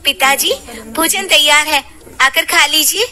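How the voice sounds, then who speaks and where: A woman voicing a gentle, distressed young daughter-in-law in a cartoon story, pleading respectfully and earnestly. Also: high-pitched, measured pace, exaggerated voice. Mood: dutiful.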